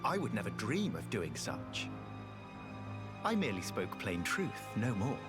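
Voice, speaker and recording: A haughty, wheedling ex-guardsman from a fantasy game. His courtly, flowery phrases swing widely in pitch as he flatters, with loud music behind him. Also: unhurried. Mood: feigned humility. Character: obsequious, scheming ex-royal guardsman, silky and haughty. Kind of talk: video game voice acting.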